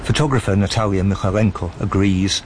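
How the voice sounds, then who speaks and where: A man reads a scripted radio report as a correspondent. His voice sits on the low side. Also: a little fast, background noise. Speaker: news correspondent.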